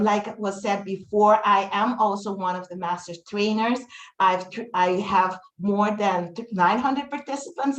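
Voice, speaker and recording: A woman in her fifties with Papiamento as her first language, speaking earnestly on a webinar panel with frequent pauses. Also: mid-range voice, roomy sound, poor audio. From Dutch Caribbean. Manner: earnest, fluent, many "um" pauses. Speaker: webinar panelist, teacher trainer.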